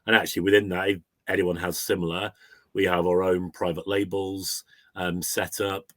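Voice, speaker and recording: A man's voice: sits low, level tone, normal speed, no echo, studio quality. A man speaking conversationally as a business guest, giving an informal testimonial. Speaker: recruitment agency client guest.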